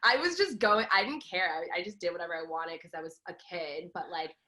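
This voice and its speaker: A woman's voice: fairly low pitch, a little fast, background noise. A young woman from Los Angeles, a podcast guest who spoke Farsi first, chatting casually with lots of fillers and laughs. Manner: chatty, laughs often, many fillers and restarts. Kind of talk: casual chat.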